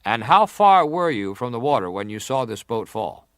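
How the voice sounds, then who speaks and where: A persistent senator questions a witness in a dramatized hearing. His delivery is formal and deliberate at a medium pitch, recorded close and dry. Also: very animated, a bit bright, no echo. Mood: probing, formal. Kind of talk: dramatized reading of hearing testimony.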